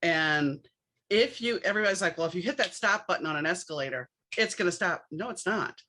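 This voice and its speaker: A chatty podcast host talks casually and reaches for everyday analogies. The voice is bright and sits at a middle pitch. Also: lively intonation, brisk. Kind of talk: conversational interview.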